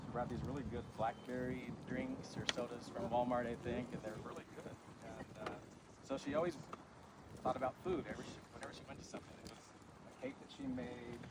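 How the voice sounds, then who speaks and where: A man's voice: medium pitch, fast talker, gravelly. A man telling informal anecdotes in a memorial tribute. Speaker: club member giving a tribute. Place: outdoors in a garden. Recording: very noisy outdoor recording.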